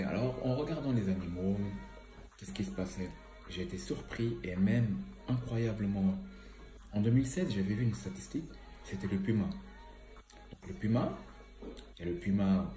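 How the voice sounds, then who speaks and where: A man speaks in a low, mellow voice, a little stuffy from a cold. He rambles casually and hesitates often as he thinks aloud. The sound bounces around an echoey room, with faint music underneath. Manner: rambling, hesitant, many fillers. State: has a cold, slightly stuffy. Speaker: environmental vlogger. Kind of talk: casual spoken commentary.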